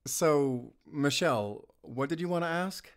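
A man playing an anxious, tender father and lover in an audio roleplay, speaking haltingly with sighs. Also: a little high, smooth. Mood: awkward, uneasy. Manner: halting, sighing, soft-hearted.

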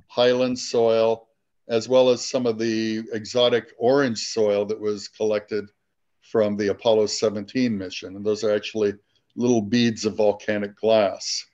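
An older man, a guest speaker in an online meeting, explains an outreach program informally, in a measured way with pauses mid-sentence. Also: on the low side, a little mellow. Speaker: guest speaker from a space research institute. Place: small room at home, indoors. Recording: dull lo-fi video-call audio.

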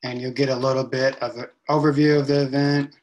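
Young guy on a webcam at home, casual how-to walkthrough, lots of ums, average pitch. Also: brisk, muffled recording. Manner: relaxed, step-by-step, frequent ums. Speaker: event staff giving a tutorial. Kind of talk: casual screen-share walkthrough.

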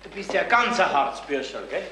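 An actor playing a rough, sardonic, provoking man in a radio crime drama, with a Bavarian accent. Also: sits high, sing-song, moderate pace, sharp tone, full character voice. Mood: mocking. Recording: roomy, slightly hissy 1970s radio recording.

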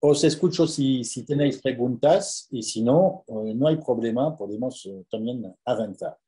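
A man with a French accent speaking Spanish as a conference presenter on a video call, halting with many repeated words and fillers. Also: mid-range voice, mellow. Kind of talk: academic Q&A over video call.

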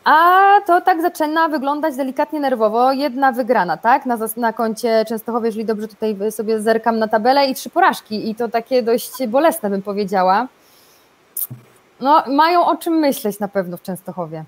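A woman in her thirties with a fairly high voice talks sport in a casual way. She sounds like a presenter chatting over a video call from a room at home. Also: normal speed. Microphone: video call microphone.

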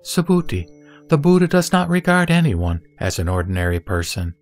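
Serene buddha teaching a disciple, done by a guy, solemn and measured, scripture audio drama, music behind. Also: medium pitch, very animated. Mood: calm, instructive. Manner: measured, solemn, didactic delivery. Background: background music.

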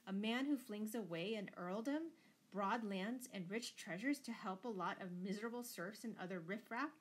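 A woman's voice: medium pitch, husky, poor audio. A woman voicing a furious, tyrannical noble father in a storybook, bellowing and thundering with indignation. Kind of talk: dramatised storybook reading.

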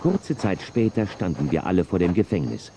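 An actor playing a calm Western sheriff who narrates his story in an audio drama. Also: low-pitched, moderate pace, noisy background. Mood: measured. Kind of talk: scripted narration.

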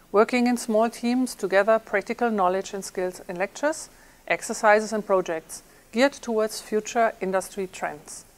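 A middle-aged woman speaks in a measured, polished way, like a university head making a formal statement. Her pitch sits in a middle range. She is recorded indoors on an interview mic. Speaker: university president.

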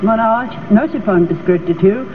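A woman in her sixties, a native English speaker with an Irish accent, answering a TV interview in halting speech with many fillers, on a studio stage. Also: mid-range voice. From Ireland. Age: 60s. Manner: hesitant, many pauses and fillers. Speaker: dance troupe director, guest. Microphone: handheld mic held by host. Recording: tinny, noisy old TV broadcast.

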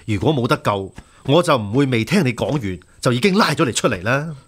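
An actor with a Hong Kong Cantonese accent playing a shrewd, steady imperial censor who reasons persuasively in a radio drama. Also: male voice, medium pitch, moderate pace, slightly rough. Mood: reassuring, persuasive.

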